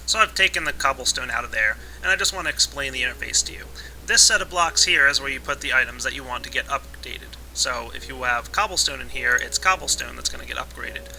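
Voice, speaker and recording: Bright raspy-voiced man explaining a game. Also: medium pitch, quick pace, slight background noise, poor audio. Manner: relaxed, explanatory, folksy fillers. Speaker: Minecraft let's play host. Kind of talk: casual gameplay tutorial narration.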